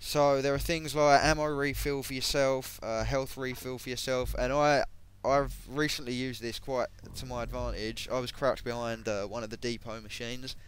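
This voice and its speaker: A man giving casual, hesitant commentary on game equipment, his voice a bit croaky, with many ums and self-corrections. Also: mid-range voice, steady tone, moderate pace, hardly any pauses. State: voice going croaky. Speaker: gaming video creator.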